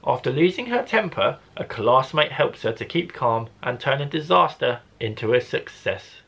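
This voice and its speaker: A man who works as a primary school teacher, reading a story expressively to children with deliberate phrasing. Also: medium pitch, lively intonation, vocal fry.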